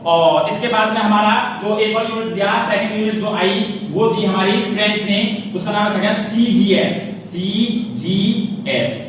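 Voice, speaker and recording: High-pitched man lecturing in an echoey room. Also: unhurried, few pauses. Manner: didactic, asks questions and answers them, repeats key points. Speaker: teacher for competitive exam students. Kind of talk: classroom lecture on physics, writing as he explains. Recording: roomy echo, distant mic, faint noise.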